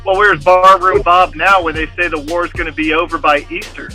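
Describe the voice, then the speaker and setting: A man's voice: fairly high pitch, little pitch movement, normal speed, pushed and bright, soft background music. A man co-hosting a casual livestream, cutting in with jokes and wisecracks. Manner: joking, wisecracking. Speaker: livestream co-host. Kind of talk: casual stream chat.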